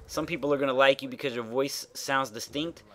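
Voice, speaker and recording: A man's voice: medium pitch, fairly even intonation, a little fast, fairly bright tone. A man with a nasal voice giving frank, rambling commentary over gameplay as a gaming YouTuber, full of fillers and the odd swear.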